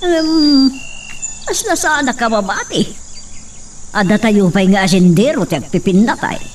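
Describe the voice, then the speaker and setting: A woman's voice: mid-range voice, animated, measured pace, distant mic, a little hiss or hum, natural voice. A woman playing an earnest mother who gives her son instructions in a radio drama. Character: grave mother of the young master.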